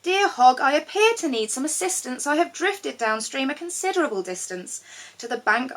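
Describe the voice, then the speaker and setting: A woman's voice: sits high, expressive, moderate pace, a bit bright, pitched above the performer's own voice. A woman voicing a stubborn little frog with formal, melodramatic pleas for a children's storybook. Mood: polite pleading. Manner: earnest, formal rhyming pleas.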